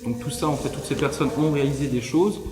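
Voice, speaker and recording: A man's voice: on the high side, fast talker. A man casually and hesitantly explaining an exhibition setup as one of its organizers, in a large indoor space. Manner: informal, hesitant. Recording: echoey hall with long reverb.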